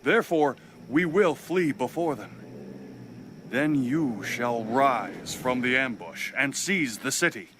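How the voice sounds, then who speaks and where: An actor playing a commanding ancient Israelite military leader in a dramatized audio Bible, solemn and declamatory. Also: male voice, medium pitch, animated, slow pace, noisy background.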